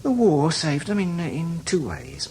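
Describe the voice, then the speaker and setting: An actor playing a seasoned, cynical older British spy in a radio drama, talking in a wry, knowing, emphatic way. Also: male voice, a little high, huge pitch swings, measured pace, darkish tone, slight background noise. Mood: scornful, explanatory. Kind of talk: radio drama acting, conversational.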